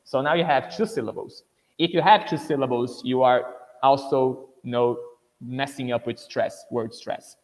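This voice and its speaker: A man's voice: mid-range voice, moderate pace, long reverb tail, rough recording. A man from Brazil with a Brazilian Portuguese accent, an English teacher giving a conversational webinar lecture full of "you know" and "right".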